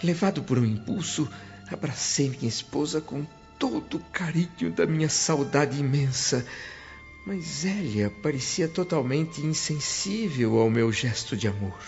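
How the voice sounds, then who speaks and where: A man's voice: fairly high pitch, animated, unhurried, creaky, a little mellow. An actor playing a sorrowful, earnest spirit, telling his story in dramatic, emotive first-person narration for a radio drama. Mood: anxious, disappointed. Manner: dramatic, emotive, literary phrasing. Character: sorrowful male spirit returning home, wistful and earnest. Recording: dull lo-fi sound under music.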